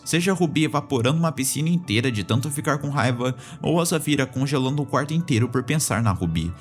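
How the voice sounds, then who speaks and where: A young man with a Brazilian Portuguese accent narrating an informal, opinionated video essay as the channel's host. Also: medium pitch. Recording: voice-over with music behind. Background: background music.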